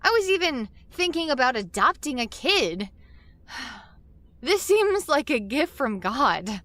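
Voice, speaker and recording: An actress playing an awestruck, curious newcomer in a sci-fi audio drama, speaking in a hesitant, conversational way. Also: female voice, high voice, very animated, slow pace, a bit bright, no room sound, heavily acted. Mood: overwhelmed, grateful.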